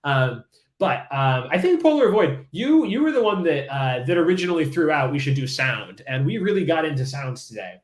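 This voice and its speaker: Casual, enthusiastic male stream instructor, slightly higher voice, roomy echo. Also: very animated, moderate pace. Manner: casual, enthusiastic, many fillers and restarts. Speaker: livestream coding instructor. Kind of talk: live-streamed coding lesson.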